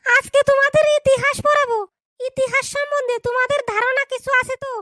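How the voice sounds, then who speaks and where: Cartoon teacher, bossy and scolding, very high and dry, voiced by a woman. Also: flat delivery, a little fast, pushed and bright, no echo. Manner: scolding, lecturing. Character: strict scolding female schoolteacher. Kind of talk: cartoon dub acting.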